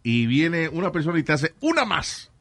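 A male radio host telling stories in casual, animated chat, switching between languages and laughing. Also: a little high, normal speed, dry recording.

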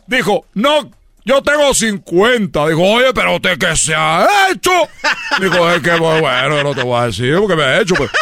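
A man's voice: high-pitched, lively intonation, normal speed, sharp tone, no room sound, clean studio sound, exaggerated voice. Man playing a rambling ballplayer. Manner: rambling, stammering storyteller. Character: boastful Cuban baseball player, claims a famous father.

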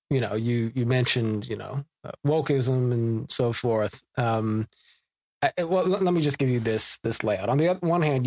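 A man hosting a podcast, framing long questions in a halting way with many fillers and restarts. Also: medium pitch, vocal fry, dry recording. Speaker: podcast host. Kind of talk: conversational podcast interview.

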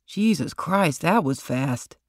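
A short-story reader acts out a heavy, gruff older male star. He is bossy and irritable, speaking in a tightly controlled, angry mumble. The recording is dry and close. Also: fairly high pitch, animated, slow pace, dry recording.

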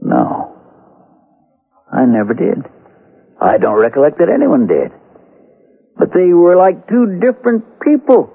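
A sober frontier lawman in a vintage western radio play. He is troubled and probing, plain in his speech, with a raspy tone and animated pitch. The sound is dull, like an old broadcast. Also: unhurried, pauses often, exaggerated voice. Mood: shaken. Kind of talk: 1970s radio drama acting, western.

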